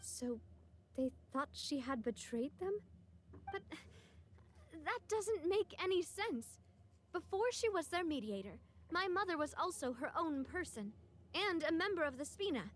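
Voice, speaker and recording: A woman's voice: extremely high pitch, very animated, a little slow, full character voice. An actress playing a poised, outspoken young woman who leads an organization in a video game. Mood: questioning, firm. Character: poised young female boss, warm-hearted and outspoken.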